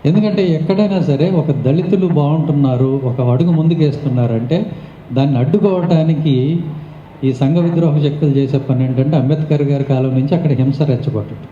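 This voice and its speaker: A man's voice: on the high side, a little fast, dark tone. A man giving a public memorial speech as a guest speaker, explaining things in a conversational way. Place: meeting hall. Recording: muffled, echoey hall recording with noise.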